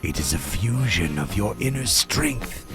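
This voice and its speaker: A man's voice: sits low, expressive, a little slow. A man acting a grave, portentous kung-fu commander in an improvised radio drama. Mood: solemn. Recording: music behind the voice.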